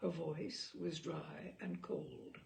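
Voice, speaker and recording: A woman narrates literary fiction as a storyteller would, phrasing each clause deliberately and dramatically. Her voice is heavily creaked and gravelly, and its pitch moves freely up and down. She leaves expressive pauses as she reads. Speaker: storyteller reading a short story aloud.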